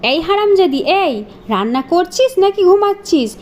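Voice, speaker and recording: A woman playing a cruel, bad-tempered mother in a cartoon, scolding and accusing in a sharp-tongued way. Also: extremely high pitch, expressive, moderate pace, slight background noise. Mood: angry, scolding. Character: cruel, bad-tempered mother who bullies her child. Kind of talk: cartoon melodrama acting.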